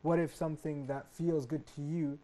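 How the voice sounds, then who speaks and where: A man's voice: on the high side, little pitch movement, mellow. A man hosting a studio panel discussion, welcoming guests and moving the talk along. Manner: brisk, welcoming, fillers like "uh". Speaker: talk show host.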